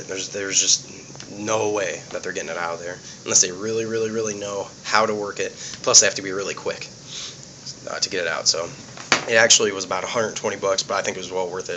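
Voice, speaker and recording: A man's voice: deep voice, noisy background. A man giving a casual, plainspoken walkthrough of his police gear, explaining things simply and sounding sniffly from a cold. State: sniffly, getting over a cold.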